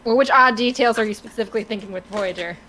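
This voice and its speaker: A woman's voice: a little high, fast talker, sharp tone, slight background noise. A woman talking casually as a podcast co-host. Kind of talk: casual chat.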